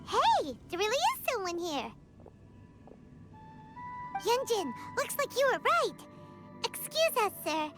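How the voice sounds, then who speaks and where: A chirpy little sprite-girl from a fantasy game. Her voice sits extremely high and bounces wildly up and down. She is excitable and says what she thinks. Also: slow pace, long pauses, loud music behind the voice, full character voice. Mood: curious. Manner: bubbly, excitable, blunt. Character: tiny chirpy floating girl companion. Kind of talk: game voice acting.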